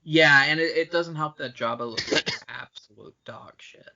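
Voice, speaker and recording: A man's voice: on the high side, moderate pace, sharp tone, lo-fi sound. A man co-hosting a podcast, discussing film casually in a blunt, profane, opinionated way. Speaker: podcast co-host.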